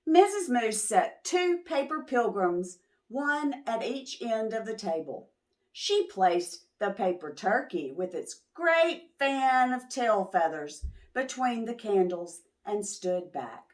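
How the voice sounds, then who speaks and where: A woman reading a picture book expressively to preschoolers, pausing to question and explain. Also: fairly high pitch, big pitch swings. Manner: pauses to question and explain to young listeners. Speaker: children's storytime host.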